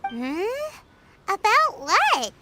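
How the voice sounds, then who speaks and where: A woman voicing a tiny, bubbly, childlike fairy companion in a video game, with a squeaky voice. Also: wildly swooping pitch, very slow, noisy background, heavily acted. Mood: puzzled. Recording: loud music behind the voice.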